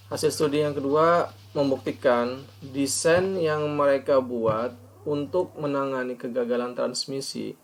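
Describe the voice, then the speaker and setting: A man giving an academic presentation as a student, formally reading out points and then explaining them haltingly. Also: mid-range voice, gravelly. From Indonesia. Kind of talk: academic presentation of an article review.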